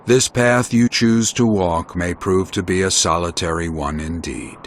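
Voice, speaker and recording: A man playing a weary, wise old warrior in a video game, speaking in measured, formal phrases. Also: low-pitched, steady tone, slight background noise. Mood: grave. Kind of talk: scripted video game voice acting.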